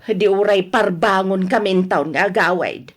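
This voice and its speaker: Husky, fed-up Ilocano wife acted by a woman. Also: mid-range voice, unhurried, natural voice. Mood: scolding, defiant. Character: fed-up, worried wife, sharp-tongued and stubborn. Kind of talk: Ilocano radio drama acting.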